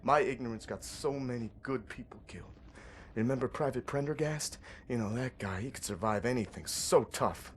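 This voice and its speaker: An actor playing a guilt-ridden young American resistance soldier in a video game, earnest and bitter, with folksy mild oaths and halting delivery. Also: male voice, medium pitch, slight background noise, close to an everyday voice. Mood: anguished, guilty. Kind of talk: dramatic video game acting.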